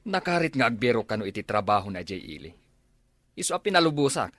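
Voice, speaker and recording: A man with an Ilocano accent playing a shiftless, grumbling drinker and father in a radio drama, gruff and argumentative. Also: mid-range voice, very animated, quick pace, a bit bright. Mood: irritated, evasive.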